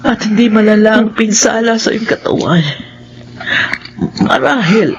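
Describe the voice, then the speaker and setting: A consoling, forgiving middle-aged woman in mourning. Her delivery is gentle and solemn, in radio drama style, on a dull recording with faint noise. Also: fairly high pitch, a little slow. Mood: sad, consoling. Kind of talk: Filipino radio drama acting.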